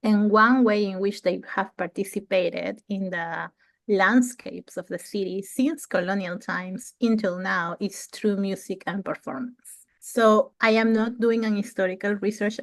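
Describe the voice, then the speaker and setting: A woman's voice: mid-range voice, no room sound. Casting: woman from Peru, 30s, thoughtful interview guest, dead-dry sound. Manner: thoughtful, laughs between phrases, clears throat. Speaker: guest researcher. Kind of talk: conversational interview answer.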